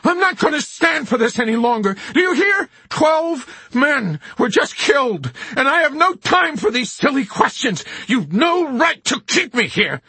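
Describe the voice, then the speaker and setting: A man's voice: sits high, big pitch swings, measured pace, husky, dry recording, pitched above the performer's own voice, heavily acted. A man playing a frantic stammering army chaplain. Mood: furious shouting. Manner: stammering, pleading, rising to indignant shouts. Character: bewildered timid male army chaplain, frantic.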